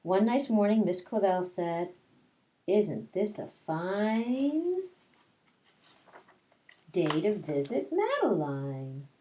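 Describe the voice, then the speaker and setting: Slow-paced woman reading to young children. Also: medium pitch, big pitch swings, dark tone, slight background noise. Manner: simple, explanatory talk for young children. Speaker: kindergarten teacher reading story time. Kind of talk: picture-book read-aloud with casual asides to children.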